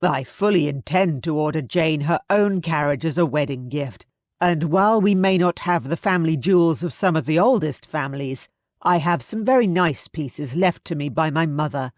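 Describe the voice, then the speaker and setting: A woman voicing a jovial young gentleman addressing his guests with proud cheer. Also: low-pitched, no room sound, studio quality, natural voice.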